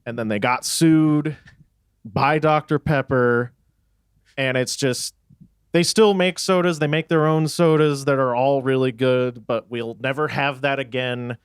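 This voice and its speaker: A man's voice: medium pitch, steady tone, a little slow, clean tone, dry recording, studio quality. A young man from Texas with an American accent, chatting casually and wryly in a band interview. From Texas, United States. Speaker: band musician being interviewed. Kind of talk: casual chat.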